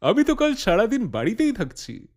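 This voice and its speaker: An actor playing a jovial middle-aged uncle in an audio drama, with a hearty manner. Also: male voice, high-pitched, huge pitch swings, moderate pace, darkish tone. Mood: cheerful.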